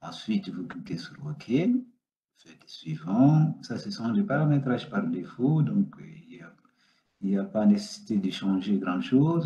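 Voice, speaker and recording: A man whose first language is Portuguese, giving an unhurried, step-by-step software tutorial with many hesitations. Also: fairly low pitch. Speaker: data science instructor. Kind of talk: screen-recorded software tutorial. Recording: roomy, dull lo-fi sound.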